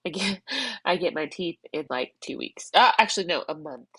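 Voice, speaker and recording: Tearful woman vlogging, low and dry. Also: animated, normal speed, sharp tone, breathy edge, dry recording. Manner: rambling, tearful, self-reflective. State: crying, congested. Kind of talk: emotional personal vlog, external processing.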